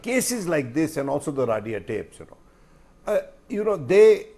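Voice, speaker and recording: Man, middle-aged, Indian accent, slightly high pitch, panel guest, emphatic, deliberate, repetitive phrasing. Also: very animated, darkish tone. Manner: deliberate, emphatic, repeats phrases and often says "you know". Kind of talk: panel discussion, opinion.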